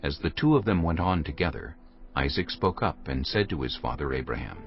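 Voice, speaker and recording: A male narrator reads scripture in a very low, lively-contoured voice. His storytelling is solemn and clearly phrased. Soft music sits behind a slightly dulled recording. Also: brisk, dull audio. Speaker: Bible narrator.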